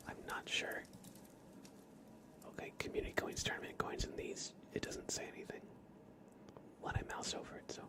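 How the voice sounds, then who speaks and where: A man hosting an ASMR livestream, chatting casually and gently with viewers in a soft, breathy whisper. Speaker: live ASMR streamer and host.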